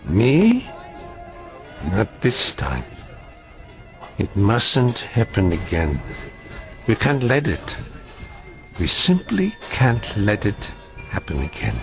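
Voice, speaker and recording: Bitter old man, slow and scornful, pitch all over the place. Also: wildly swooping pitch, faint music behind, exaggerated voice. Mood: haunted. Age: older. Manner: scornful, cutting, mocking.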